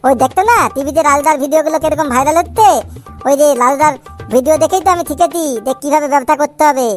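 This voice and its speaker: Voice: high-pitched, quick pace, soft background music, natural voice. A performer voicing a cheeky, foul-mouthed little boy in a comic cartoon, boastful and grumbling with a childish lisp. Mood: boastful, eager.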